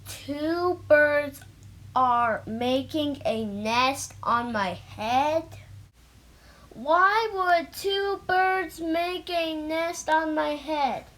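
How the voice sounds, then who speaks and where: Child playing a high, fretful storybook elephant. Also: extremely slow, a bit bright, heavily acted. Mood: worried. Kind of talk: picture book read-aloud.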